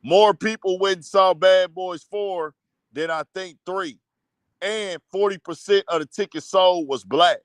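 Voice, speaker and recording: A man hosts a casual livestream commentary show and rants, blunt and profane. His voice sits high and comes through smooth and clear. It is recorded close on a dry mic with no room sound. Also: unhurried, no echo. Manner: blunt, profane, heated rant.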